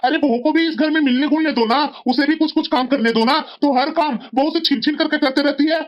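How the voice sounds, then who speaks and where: A woman's voice: high voice, fairly quick, highs cut off, lightly acted. A woman voicing a grumbling but sensible elderly husband who scolds and reasons in a family cartoon. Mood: grumbling, scolding. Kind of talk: Hindi family cartoon voice acting.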